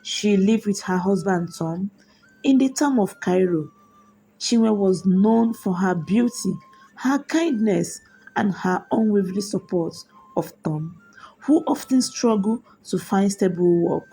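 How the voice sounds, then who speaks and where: A woman narrating a scripted folktale in a measured, deliberate way. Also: medium pitch, dark tone, breathy edge, rough recording. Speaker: storyteller. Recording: music behind the voice. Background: background music.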